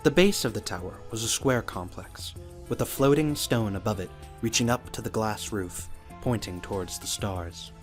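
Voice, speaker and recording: Low-pitched male audio drama narrator. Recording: music under the voice. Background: music.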